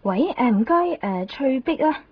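An actress plays a polite travel agency clerk with a Hong Kong Cantonese accent. Her voice is slightly high and animated, with hesitant service-desk courtesy. The radio drama recording is dull. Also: normal speed, natural voice. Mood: apologetic, businesslike. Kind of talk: 1990s radio drama acting. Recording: dull radio recording, faint ambient noise.

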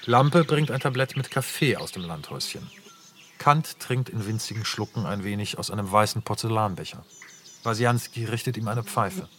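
A man narrates the stage directions of a radio play in a plain, measured reading. His voice sits a little low, with a faint hiss underneath. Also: animated, a bit bright.

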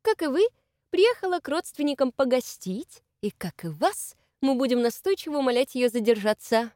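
A woman voicing a bossy, fussy young lady hostess who talks in a high, gushing, overly lively way. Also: normal speed, no echo, clean studio sound. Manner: shrill, gushing, exaggerated liveliness.

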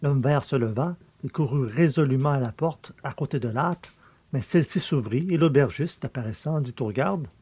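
A man reading scripted literary narration as an audiobook narrator, with a mellow, slightly husky voice. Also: mid-range voice, normal speed.

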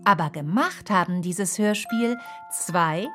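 Female children's radio announcer, very slow, deliberate, simple scripted phrasing, clear music bed. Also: lowish voice, a bit bright. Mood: measured, presenting. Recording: music behind the voice.

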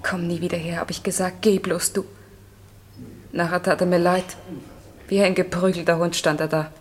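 A woman's voice: fairly low pitch, flat delivery, moderate pace, a little hiss or hum, lightly acted. An actress playing a grieving, bitter widowed mother in a German radio crime drama, weary and subdued.

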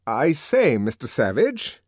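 A fussy young male official, as a man narrating a novel plays him, pitched high above an ordinary male voice. The delivery is officious and clipped, leaping up and down in melody, recorded dry. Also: wildly swooping pitch, unhurried, no room sound, heavily acted. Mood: placating. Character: fussy young male minor official, peremptory, nervously placating.

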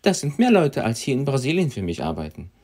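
A man's voice: mid-range voice, wildly swooping pitch, brisk, a little mellow. A man interpreting a sermon live, giving each phrase right after the preacher.

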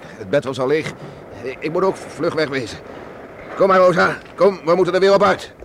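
An actor playing a gruff, stubborn middle-aged island beachcomber and father in a Dutch radio play, muttering to himself and calling out. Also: a little high, normal speed, a bit bright. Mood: brisk, calling out. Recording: noisy old radio play with storm ambience.

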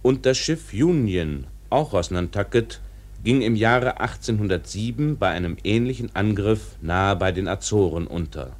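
Casting: sober radio-drama chronicler, slightly deep, factual, measured delivery. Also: male voice, animated. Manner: matter-of-fact, measured. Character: sober male chronicler reporting historical shipwrecks. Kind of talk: scripted factual narration in a radio play.

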